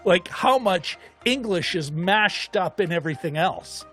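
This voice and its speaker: A man co-hosting a film discussion show, chatting casually in a rambling, animated way as he thinks aloud. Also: sits high, measured pace, faint music behind. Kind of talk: casual chat.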